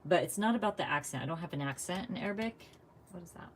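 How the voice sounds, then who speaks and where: A woman's voice: fairly low pitch, expressive, fast talker, slight background noise. A woman from the United States chatting casually while she crafts live, thinking aloud and laughing often. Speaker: craft vlogger.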